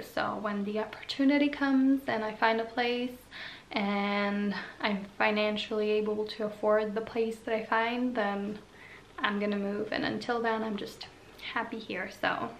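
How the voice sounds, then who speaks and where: Young woman YouTuber, Slovene native, relaxed chatty vlog delivery, echoey room. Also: medium pitch, unhurried, slight background noise. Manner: chatty, with frequent fillers. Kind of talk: casual Q&A vlog.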